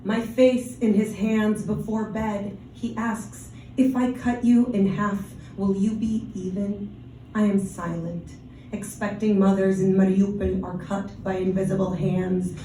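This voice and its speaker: Slow, mellow woman poet in a roomy hall. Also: mid-range voice, level tone, slight background noise. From Ukraine, raised in the Washington DC area. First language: Russian. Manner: measured, deliberate reading with brief casual asides. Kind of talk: poetry reading. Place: reading venue, roomy space. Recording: roomy live recording from a distance.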